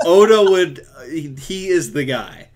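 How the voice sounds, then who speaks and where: A man's voice: on the high side, slight background noise. A man hosting a casual fan discussion, informal and agreeable with some teasing.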